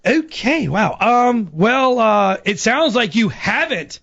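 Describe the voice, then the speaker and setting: A woman's voice: a little high, big pitch swings, slow, vocal fry, fairly bright tone. A woman working as a radio prank caller, talking politely and a little hesitantly on a phone conversation. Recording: dull lo-fi audio, music behind.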